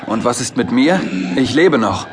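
An actor playing a commanding, embittered gentleman in a German audio drama, speaking tersely and urgently. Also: mid-range voice, expressive, moderate pace, background noise, natural voice. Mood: cold, bitter. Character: commanding, embittered gentleman seeking truth about fiancée's death.

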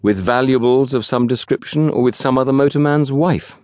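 A man voicing a mistrustful, educated gentleman passenger with a curt, dry manner in a narrated story. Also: medium pitch, normal speed, dry recording, voice pushed up, natural voice.

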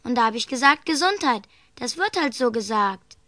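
High-voiced, teasing young boy. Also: animated, moderate pace, pushed and bright, no room sound, clean studio sound. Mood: teasing, casual. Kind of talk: children's audio play acting.

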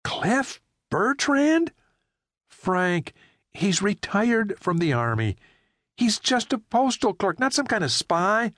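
A man's voice: big pitch swings, takes it slowly, fairly bright tone, no room sound, clean studio sound, exaggerated voice. A man doing a frantic, bewildered driver. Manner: shrill, disbelieving questions.

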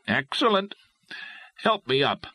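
A man voicing a stoic, patient gentleman adventurer in an audiobook, calm, measured and understated. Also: mid-range voice, no room sound, pitched above the performer's own voice, heavily acted. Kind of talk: audiobook character voice.

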